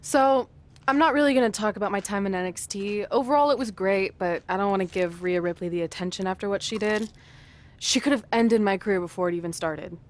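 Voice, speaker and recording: A woman's voice: medium pitch, fairly quick, close to an everyday voice. Woman acting as sensible, exasperated wrestler. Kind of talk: game cutscene dialogue.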